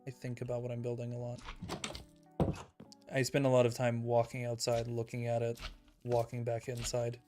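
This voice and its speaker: Casting: young male streamer, calm and soothing, mid-pitched, soft-spoken, faint music underneath. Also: normal speed, long pauses. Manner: calm, soft-spoken, self-described monotone. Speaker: Minecraft streamer. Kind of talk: casual livestream chat while building in a game.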